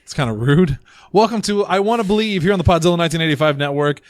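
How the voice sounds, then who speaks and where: Joking male podcast host, slightly raspy. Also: on the high side, animated, quick pace, no echo. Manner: rambling, self-deprecating, joking. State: losing his voice. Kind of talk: casual group chat. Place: small room around a table.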